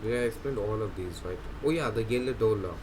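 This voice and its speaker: A man's voice: fairly low pitch, fast, background noise. A man giving unscripted gameplay commentary, explaining as he goes in a rambling way, often repeating and correcting himself. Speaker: game video narrator. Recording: music playing loudly behind voice.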